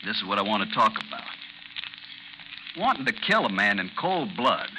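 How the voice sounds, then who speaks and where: An actor playing a protective friend and former army officer, earnest and insistent, in 1950s radio drama style. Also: male voice, lowish voice, big pitch swings, moderate pace. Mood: pleading, firm. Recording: noisy, sizzling 1950s radio recording.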